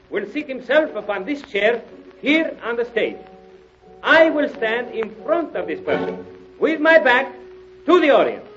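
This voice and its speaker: Courtly theatrical magician, old-time radio drama, high voice, muffled vintage recording. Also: male voice, fairly bright tone, heavily acted. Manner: pompous, theatrical, formal phrasing. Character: grandiose, courtly male stage magician. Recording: dull old radio recording, music behind.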